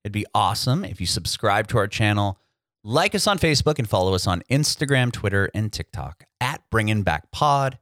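A man's voice: on the low side, very animated, moderate pace, a bit bright, no room sound, studio quality. Chatty man hosting a podcast. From San Diego, United States. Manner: chatty, upbeat, many fillers and restarts. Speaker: podcast host. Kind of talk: casual interview chat and scripted intro.

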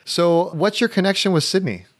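A man hosting a travel podcast, chatting in a relaxed, halting way with many restarts. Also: high-pitched. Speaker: podcast host. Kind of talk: casual interview chat.

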